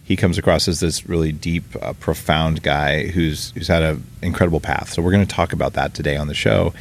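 A man hosting a podcast, chatting casually and upbeat with quick asides, recorded in a room with his guest. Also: very deep voice, fairly even intonation, slight background noise. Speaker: podcast host. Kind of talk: casual interview and ad read. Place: room in Hawaii, live with guest.

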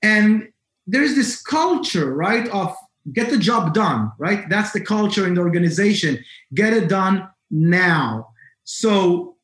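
A man's voice: high voice, lively intonation, slow, a bit bright. A middle-aged man with an Israeli accent, talking conversationally as an interview guest. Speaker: guest, cybersecurity entrepreneur. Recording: roomy, echoing sound.

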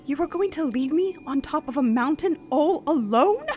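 An actress playing a flighty, frightened and flirtatious wife in a melodramatic 1930s radio mystery style. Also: female voice, high voice, lively intonation, moderate pace. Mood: accusing. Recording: loud music behind the voice.

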